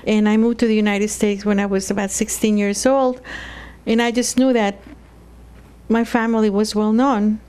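A woman's voice: mid-range voice, little pitch movement, normal speed, a little mellow, smooth. A woman from El Salvador, speaking candidly and reflectively in a documentary interview. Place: indoors in a living room.

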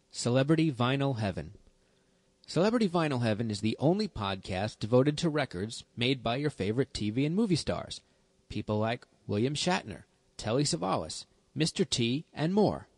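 A man reads a promo for his podcast in a bright, salesy pitch. The recording is dry and close. There is no room sound around his voice. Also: sing-song, moderate pace, no echo. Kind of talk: scripted promo.

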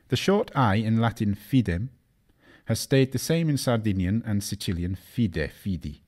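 Male narrator for scripted language lesson. Deep register, animated melody, didactic and precise delivery. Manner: measured, didactic, carefully enunciates foreign example words. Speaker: narrator of an educational language history video.

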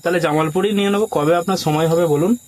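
A man's voice: fairly high pitch, fast. A man talking casually and persuasively on a prank call, coaxing and giving instructions like a casting agent. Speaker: prank caller posing as a film casting agent.

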